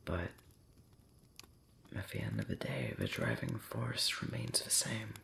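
A man rambling intimately late at night in an ASMR style, breathy and often whispering, hesitant and pensive with long pauses and soft hums. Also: fairly low pitch, huge pitch swings, a little hiss or hum. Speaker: audio roleplay channel creator.

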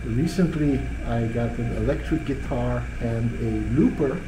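A middle-aged man from Belgium, a native Dutch speaker, chatting about his cafe in an interview with many pauses and fillers. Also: medium pitch. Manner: relaxed, halting with many fillers. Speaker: cafe owner. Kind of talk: casual interview. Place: small cafe under renovation, indoors. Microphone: lapel mic. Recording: echoey room with background noise.

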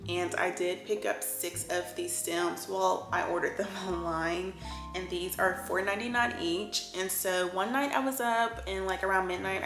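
A woman with a sore throat chatting through a home decor haul in a chatty, enthusiastic way, with lots of fillers. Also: fairly low pitch. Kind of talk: casual haul chat. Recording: roomy echo with background music.

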